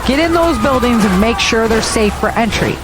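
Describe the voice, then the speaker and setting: A woman's voice: a little high, animated, normal speed, natural voice, poor audio. An actress playing a determined, assertive young female army recruit in an audio drama. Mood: brisk, assertive. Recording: music bed under dialogue.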